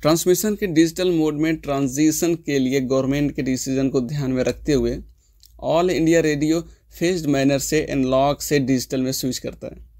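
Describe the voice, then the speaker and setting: A man delivers scripted explainer narration in a slightly high voice with a mellow, soft-edged tone. He keeps an informational manner throughout and drops English terms into his sentences. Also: steady tone, moderate pace. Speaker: host of a tech history explainer channel.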